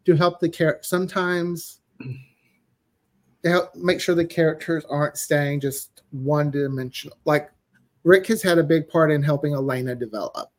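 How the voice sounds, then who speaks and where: A man's voice: fairly high pitch, steady tone, moderate pace. A man speaking as a podcast guest in casual chat, explaining things in a chatty way. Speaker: podcast guest, audio drama writer.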